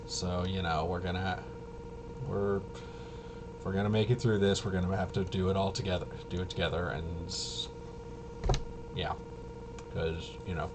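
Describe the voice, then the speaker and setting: Casting: male streamer, lowish voice, loose rambling delivery that stops and restarts, noticeable background noise. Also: flat delivery. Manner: halting, self-interrupting, trailing off. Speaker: livestream host. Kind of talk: casual rambling livestream chat.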